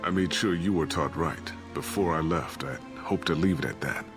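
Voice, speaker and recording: A man's voice: low-pitched, normal speed, lightly acted. A middle-aged man playing a disgraced, evasive ship captain and father in a video game, talking defensively and justifying himself. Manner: defensive, rationalizing. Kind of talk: dramatic video game voice acting. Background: cinematic background music.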